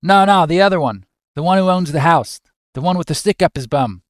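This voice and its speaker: A man's voice: a little high, animated, fairly quick, no room sound, clean studio sound, pitched above the performer's own voice, close to an everyday voice. A man playing a cheeky, nosy Australian office worker with an attempted Australian accent and matey, teasing slang. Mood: cheeky, pushy. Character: cheeky, nosy, square-jawed Australian male office mate.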